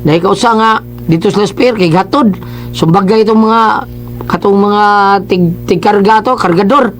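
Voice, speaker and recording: A man's voice: high-pitched, moderate pace, soft background music. A man with a Cebuano accent co-hosting a radio show, casually retelling and bantering. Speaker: radio co-host.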